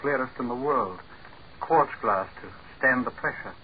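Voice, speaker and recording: A calm, deferential scientist voiced by a man who explains things patiently and politely, at an ordinary pitch. He speaks in the style of a 1940s radio play. The sound is a muffled old broadcast with a light hiss. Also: a little slow, lightly acted. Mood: explaining.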